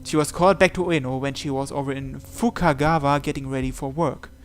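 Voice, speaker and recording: A man's voice: medium pitch, steady tone, a little fast. A man reading a visual novel aloud as dramatic narration, in a theatrical, suspenseful manner. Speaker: visual novel let's player. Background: game music.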